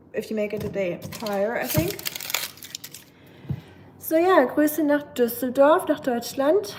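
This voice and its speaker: Brief: young German-accented woman, raised voice, slow easygoing delivery, sarcastic chat host over loud chopping. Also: a little high. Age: young adult. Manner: chatty, dry sarcastic humor, answers viewer comments. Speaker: cooking streamer. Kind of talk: casual live-stream chat while cooking. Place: home kitchen. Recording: knife chopping noise behind.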